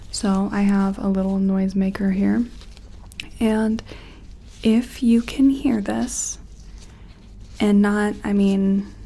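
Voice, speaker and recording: A woman playing a gentle, curious sci-fi examiner in an ASMR role-play, soft-spoken and slipping in and out of a soothing whisper. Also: medium pitch, vocal fry, slightly rough, exaggerated voice.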